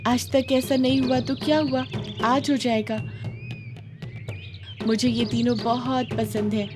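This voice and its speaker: A woman's voice: fairly high pitch, flat delivery, takes it slowly. A woman voicing a confident, determined young bird princess in a cartoon tale. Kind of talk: animated moral story dialogue.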